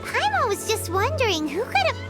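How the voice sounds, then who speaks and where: A woman voicing a tiny, chirpy, childlike fairy companion in a video game, squeaky and excitable. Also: fairly quick, heavily acted. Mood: surprised, curious. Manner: excitable, speaks of herself in third person. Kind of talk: video game character acting. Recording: loud music behind.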